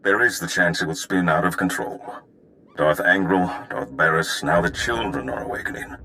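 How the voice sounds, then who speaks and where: Sinister plotter, low pitch, bright forceful edge, smooth, portentous, game villain. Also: male voice, normal speed, rough recording. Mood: ominous.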